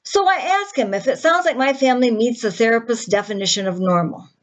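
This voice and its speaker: A woman's voice: fairly high pitch, lively intonation, fairly quick, close to an everyday voice. A woman playing an indignant, defensive, chatty woman who vents with sarcasm in a dramatic screenplay monologue. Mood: exasperated, defiant. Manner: sarcastic, exasperated, conversational venting.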